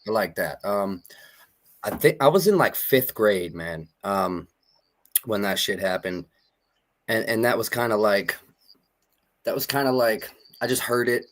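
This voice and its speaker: A man from Maine in his thirties, speaking as a guest in a casual interview. He rambles in a laid-back way and swears freely. His voice sits low. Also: lively intonation, unhurried, long pauses. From Maine, USA.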